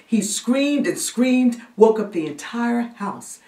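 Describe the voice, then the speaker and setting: A woman playing a storyteller who recounts a spooky childhood family tale with hushed, dramatic suspense. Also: a little high, lively intonation, slow, voice pushed up. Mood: suspenseful. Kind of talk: theatrical storytelling monologue. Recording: roomy echo, distant microphone.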